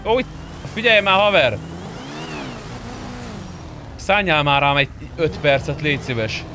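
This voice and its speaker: A man's voice: a little high, big pitch swings, noisy background. A man playing an online roleplay game, talking in an informal, pushy way. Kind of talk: in-character gameplay chat.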